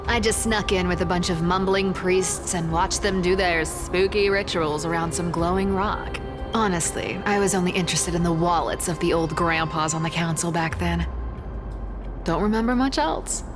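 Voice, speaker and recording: A woman's voice: on the low side, moderate pace, over music, lightly acted. A woman playing a sly, carefree young female thief in a video game, speaking in a wry, teasing and nonchalant way. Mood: wry, musing. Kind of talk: video game character dialogue.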